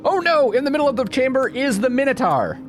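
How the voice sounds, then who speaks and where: A man giving let's-play commentary, reading game text aloud dramatically and thinking out loud as he plays. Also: very high voice, sing-song, fast. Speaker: video game streamer playing a narrative game. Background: music behind the voice.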